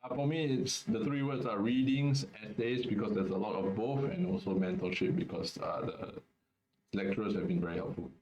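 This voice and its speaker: A young man from Singapore hosts a student webinar in a casual, halting way, full of fillers. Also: lowish voice, a little mellow, roomy sound, highs cut off. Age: young adult. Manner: hesitant, many fillers and restarts. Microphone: video call.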